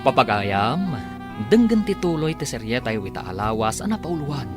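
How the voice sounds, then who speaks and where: A man's voice: mid-range voice, big pitch swings, normal speed. A man with an Ilocano accent reading scripted radio drama narration as the announcer, in a dramatic, emphatic way. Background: music.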